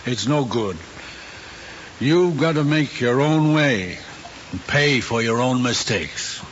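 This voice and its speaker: An actor playing a troubled middle-aged father, grave and halting, in an old screen drama. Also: mid-range voice, animated. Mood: regretful. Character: troubled, regretful father who pushed his son. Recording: dull, hissy old film soundtrack.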